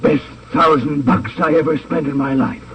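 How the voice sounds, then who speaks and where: A man's voice: fairly high pitch, little pitch movement, normal speed, lightly acted. Man playing a nervous businessman, very noisy recording. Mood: resigned. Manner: hesitant, flustered. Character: nervous, gullible American male crooked businessman. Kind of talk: 1950s radio crime drama acting. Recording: very noisy, dull, distant radio recording.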